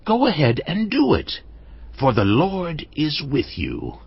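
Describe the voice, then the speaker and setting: An actor playing an assured, encouraging prophet, speaking deliberately in dramatized scripture. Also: male voice, a little high, huge pitch swings, gravelly, husky, dry recording, heavily acted. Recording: muffled, band-limited audio.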